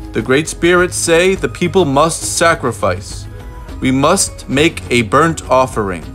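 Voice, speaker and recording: Solemn shaman voiced by a man, proclaiming gravely. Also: medium pitch, fairly bright tone. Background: music.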